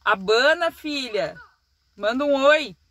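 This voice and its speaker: A woman's voice: sits high, expressive, slow pace. A woman in her thirties with a southern Brazilian accent, chatting casually as a vlogging mother. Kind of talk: casual family vlog chat. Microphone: phone at arm's length. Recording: noisy outdoor phone video.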